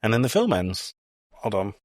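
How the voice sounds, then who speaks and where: Male podcast co-host, lower-pitched voice, casual film discussion, very dry recording. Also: big pitch swings, normal speed, no echo.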